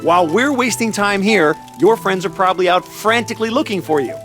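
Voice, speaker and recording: An actor playing a brash, hot-headed but caring young ninja in an anime-style video game, blunt and emphatic. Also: male voice, high-pitched, expressive, fairly quick, a bit bright, soft background music. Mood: earnest, firm. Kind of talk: video game voice acting in anime dub manner.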